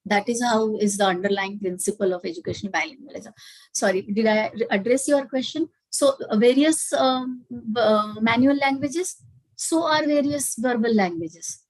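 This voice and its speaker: A woman's voice: a little high, normal speed, no room sound, rough recording. A woman with an Indian English accent giving a webinar lecture and answering questions, explaining steadily with frequent fillers. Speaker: guest speaker. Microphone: video call.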